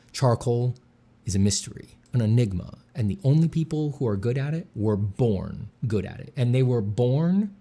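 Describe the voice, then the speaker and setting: A man streaming while he draws, chatting casually with dry deadpan jokes and a slight slur from a sore mouth. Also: lowish voice, vocal fry, husky. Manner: dry deadpan joking, relaxed and rambling. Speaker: artist streaming a drawing session. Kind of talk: casual livestream chat.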